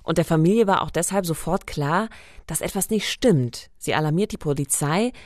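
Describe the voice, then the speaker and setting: A woman's voice: low-pitched, moderate pace, no room sound, clean studio sound. A woman co-hosting a true-crime podcast, narrating and putting questions to her colleague. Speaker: podcast co-host.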